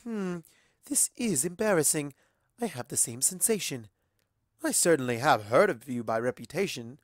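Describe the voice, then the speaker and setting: A performer voicing an elegant, gracious unicorn mare socialite in a storybook reading, with refined, effusive, drawn-out phrasing. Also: medium pitch, lively intonation, dry recording, studio quality, close to an everyday voice. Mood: chatty, poised. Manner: effusive, genteel, drawn-out words.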